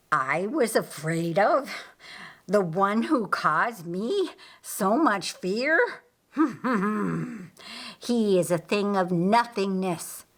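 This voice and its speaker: A woman's voice: mid-range voice, heavily acted. Woman voicing a gloating, menacing winged Lucifer. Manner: menacing, savoring drawn-out words. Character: gloating, bloodthirsty male winged Lucifer, proud and cruel.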